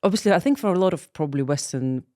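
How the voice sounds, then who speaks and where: A woman's voice: sits low, expressive, rapid-fire, darkish tone, dry recording, clean studio sound. A woman hosting an interview podcast, asking curious, open questions. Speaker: podcast host.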